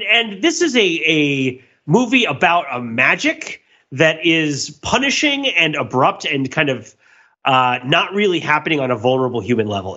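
A man's voice: on the high side, bright, forceful tone, muffled recording. A man in a casual, analytical podcast discussion, rambling as he thinks aloud in wordy, intellectual phrases and often repeating himself. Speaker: podcast host.

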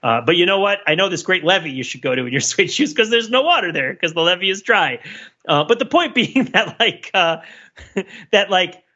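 Bright-voiced man, wry and digressive. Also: high voice, big pitch swings, moderate pace, dull audio. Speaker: podcast panelist. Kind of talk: casual discussion, rambling pop culture analysis.

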